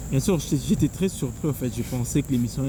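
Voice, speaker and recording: Man, 30s, interview guest, earnest, many restarts, outdoors, clear insect noise. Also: medium pitch, expressive, fast talker. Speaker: guest, son of honored journalist. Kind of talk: interview answers. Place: outdoor stadium.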